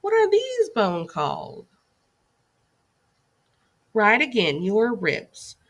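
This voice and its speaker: A woman's voice: a little high, huge pitch swings, drawn-out words. A woman teacher reading a scripted lesson aloud for young children in an instructive way, putting questions to her listeners.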